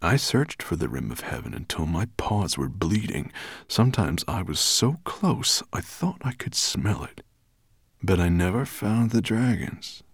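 A cruel storybook dragon, growling and gravelly. He is imperious and scornful, and his delivery swings widely as he menaces and commands his servants. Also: low-pitched, very animated, unhurried, no room sound, studio quality, full character voice. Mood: brooding, scheming. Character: cruel, imperious, raging golden dragon master, male.

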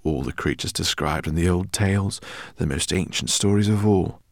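A man voicing an excitable, eccentric older male professor who speaks in effusive, fussy exclamations. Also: low voice, normal speed, creaky, no echo, clean studio sound. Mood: eager. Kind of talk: audiobook character reading.

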